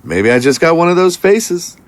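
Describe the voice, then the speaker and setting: A man's voice: fairly high pitch, very animated, normal speed, dry recording. A man acting a breezy, casual young street flyer hawker in an audio drama. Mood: breezy, offhand.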